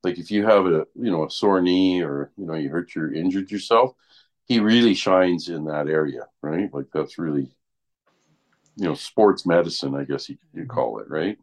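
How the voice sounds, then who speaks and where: An older man, chatting casually and haltingly, often checking in with "right?". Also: deep voice. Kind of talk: casual chat.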